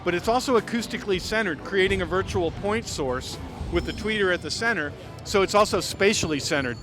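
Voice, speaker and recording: A man with a fairly high voice presents products without a script. He sounds enthusiastic and salesy, like a company rep on a trade show stand, and music plays clearly behind him. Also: brisk.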